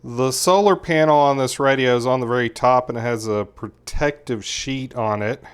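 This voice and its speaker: A man's voice: medium pitch, moderate pace, clear, resonant tone. A man giving a casual, methodical hands-on product review, explaining each step as he goes. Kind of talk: casual hands-on gadget demonstration.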